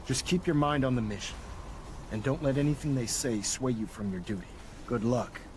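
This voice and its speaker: A man playing a stern, zealous military leader in a video game, speaking in a formal, authoritative, deliberate way. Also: medium pitch, close to an everyday voice. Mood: grave, firm. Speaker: military commander briefing a soldier. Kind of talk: video game cutscene acting. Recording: noisy game audio with engine ambience.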